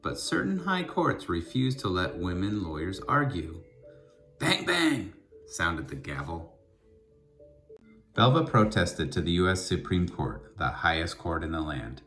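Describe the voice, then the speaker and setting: A man reading a children's book aloud in an expressive storytelling style, with deliberate, emphatic phrasing. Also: deep voice, soft background music.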